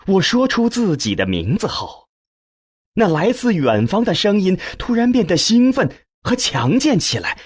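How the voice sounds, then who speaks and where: Guy reading an audiobook, really husky voice, slow and expressive, dry sound. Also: sits high, dry recording. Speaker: audiobook narrator. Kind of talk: scripted dramatic narration of a novel.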